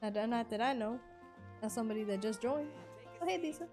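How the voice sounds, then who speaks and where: A woman game streamer chatting informally and haltingly on a livestream, often repeating herself. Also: a little high, animated, music in the background. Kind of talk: casual livestream chat.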